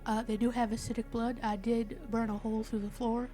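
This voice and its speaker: A woman's voice: on the high side, flat delivery, brisk, mellow, soft background music. A woman player casually improvising in character during a tabletop roleplaying game.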